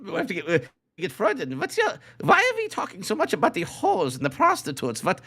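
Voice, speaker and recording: A man's voice: high-pitched, wildly swooping pitch, a little fast, sharp tone. A middle-aged man co-hosting a podcast in casual, rambling chat, circling back and repeating his phrases. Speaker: podcast co-host.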